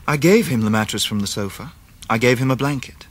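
A man playing an earnest, sensitive young gentleman who tells his own story in a radio drama, polite and wry. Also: mid-range voice, animated, fairly quick, natural voice. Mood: wistful reflection. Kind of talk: dramatised first-person narration, 1990s radio drama.

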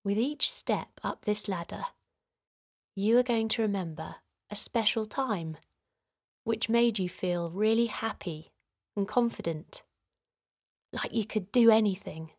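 A woman gently guiding a children's relaxation in a soothing, encouraging way, her voice softly breathy and hushed at times. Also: medium pitch, measured pace, long pauses, no echo, clean studio sound. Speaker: host of children's guided relaxation. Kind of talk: guided meditation narration for children.

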